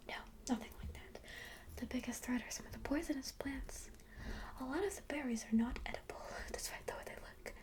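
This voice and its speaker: A woman voicing a kindly, chatty cat-like spellcaster in a soft, breathy whisper for a fantasy ASMR roleplay. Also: a little high, close to an everyday voice. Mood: gently cautioning. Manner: gentle, welcoming, rambling, musing.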